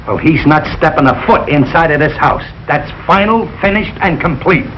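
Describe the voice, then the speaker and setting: A man's voice: on the high side, expressive, moderate pace, natural voice. An actor playing a blustering, suspicious working-class father with blunt, ungrammatical talk in a 1940s radio sitcom. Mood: indignant, stubborn. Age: middle-aged. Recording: muffled, noisy old radio recording.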